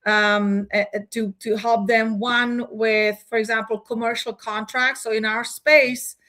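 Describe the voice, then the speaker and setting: A woman's voice: a little high, little pitch movement, a little slow, bright, forceful tone. A woman in her forties, from the Czech Republic, answering as a guest CEO in a business interview, thoughtful, with frequent fillers and restarts.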